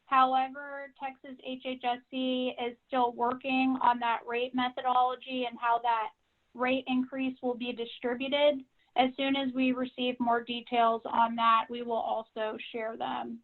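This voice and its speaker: A woman giving a measured, businesslike informational briefing to members over a conference call, on a tinny phone line. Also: on the high side, completely flat delivery. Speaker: association staff member giving member updates.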